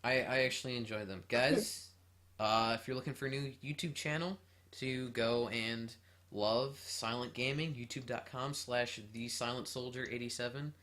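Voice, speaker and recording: A man co-hosting a casual podcast, talking in an energetic, jokey and self-deprecating way. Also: medium pitch, moderate pace. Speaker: podcast co-host. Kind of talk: casual chat.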